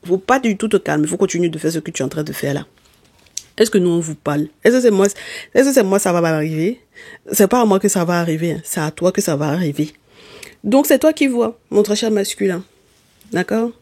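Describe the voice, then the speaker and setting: A tarot reader who is not a native speaker talks straight to the listener and scolds them with emphasis. The voice sits in a middle pitch range. The sound is dry and close, with no room echo. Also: animated, brisk, a little mellow, husky. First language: not French. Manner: emphatic and scolding, often checks in with "okay?"; speaks directly to listeners with terms of endearment. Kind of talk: spoken tarot reading addressed to viewers.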